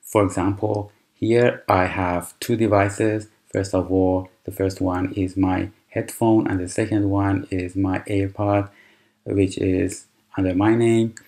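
A man whose first language is Persian, calmly walking through a computer tutorial with fillers and restarts. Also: low-pitched. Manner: unhurried, explanatory, with frequent fillers and restarts. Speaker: tutorial presenter. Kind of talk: screen-recorded software tutorial.

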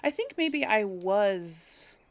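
Female gaming host, unhurried delivery, mid-range voice, hesitant and musing, casual commentary. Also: medium pitch, big pitch swings. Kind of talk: casual game commentary, thinking aloud.

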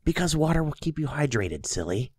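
A man playing a doting, teasing young boyfriend in an intimate ASMR roleplay, speaking softly and affectionately. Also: on the high side, very animated, a little fast, no room sound, studio quality. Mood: teasing, fond. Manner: soft, affectionate, playfully teasing, with chuckles and kisses.

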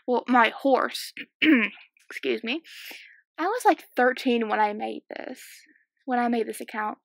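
A sixteen-year-old girl with a slightly croaky voice, chatting and giggling casually while commentating a game she is playing at home. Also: a little high, unhurried, no room sound. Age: 16, teenager. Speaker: gaming YouTuber. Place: small home room.

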